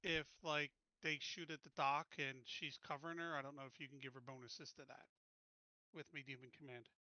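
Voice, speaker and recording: Guy player in a tabletop game, really sharp bright voice, casual, dry kinda lo-fi mic. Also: on the high side, little pitch movement, brisk, no room sound.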